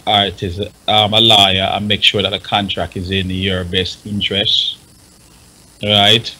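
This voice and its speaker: Forceful, husky Jamaican man giving advice. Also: lowish voice, rough recording. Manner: emphatic, repeats himself for stress. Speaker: radio panelist advising on music rights. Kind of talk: panel discussion, explaining and advising.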